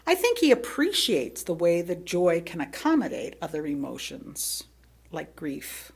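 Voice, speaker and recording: Expressive woman reading a reflective sermon. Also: fairly low pitch, vocal fry, slightly rough. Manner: reflective, with rhetorical questions and deliberate pauses. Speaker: lay speaker reading a sermon. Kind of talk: sermon read from a prepared text.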